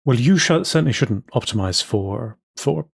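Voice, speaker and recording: A man's voice: mid-range voice, animated, moderate pace, vocal fry, husky, dry recording, clean studio sound. A man in his thirties co-hosting a casual podcast chat, often restarting and repeating words as he explains. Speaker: podcast co-host.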